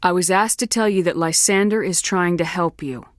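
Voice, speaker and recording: A woman's voice: lowish voice, moderate pace, no echo, clean studio sound, lightly acted. A woman playing a tough, decisive space soldier in a video game, speaking with firm, clipped authority. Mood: businesslike.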